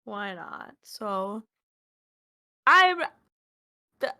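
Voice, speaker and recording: Bright-voiced young woman rambling casually. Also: mid-range voice, very animated, extremely slow. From Minnesota. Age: 20s. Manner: rambling, lots of filler words, self-deprecating. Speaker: podcast co-host. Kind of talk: casual chat.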